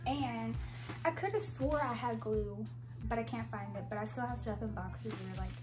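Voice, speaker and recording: A young woman with a soft voice chatting casually to camera in her bedroom, rambling with giggly asides, on a clip-on mic. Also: fairly high pitch, moderate pace, over music. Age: young adult. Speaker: vlogger. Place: bedroom, indoors. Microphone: clip-on mic after the first part.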